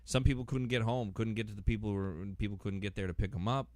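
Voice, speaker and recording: A man hosting a podcast, talking casually in a rambling way and getting animated as he acts out imagined quotes. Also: lowish voice, fast, dry recording. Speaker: podcast host.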